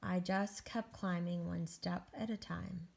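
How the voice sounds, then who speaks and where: Woman reading as an earnest, gravelly storybook egg. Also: lowish voice, steady tone, unhurried, a little mellow. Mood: nervous, resolute. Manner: earnest storybook first-person narration. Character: anxious, wistful egg recovering from a great fall. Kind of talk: children's picture book read aloud.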